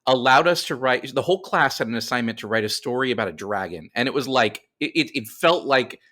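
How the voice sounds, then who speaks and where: A man's voice: mid-range voice, animated, fairly quick, bright, forceful tone. A middle-aged man telling stories casually as a guest in a podcast interview.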